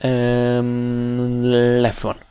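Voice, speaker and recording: A man's voice: mid-range voice, completely flat delivery, extremely slow, warm tone, clear, resonant tone. A man with a British accent giving casual commentary while playing a video game. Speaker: gaming video host. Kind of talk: casual let's play commentary.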